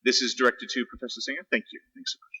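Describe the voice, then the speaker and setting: Quick, husky male moderator. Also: medium pitch, bright, forceful tone, no echo, highs cut off. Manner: polite, deferential. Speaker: debate moderator. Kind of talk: formal moderating.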